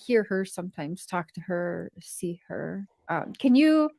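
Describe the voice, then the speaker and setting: A woman's voice: on the low side, very animated, measured pace, vocal fry, darkish tone, dry recording. Earnest woman, close dry sound. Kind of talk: casual livestream chat.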